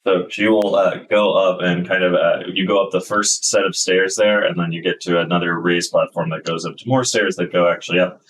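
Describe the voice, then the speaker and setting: A man's voice: low voice, flat delivery, fairly quick, few pauses, fairly bright tone, recorded from a distance, slight background noise, poor audio. A man narrating a tabletop game as game master, explaining at length with many fillers.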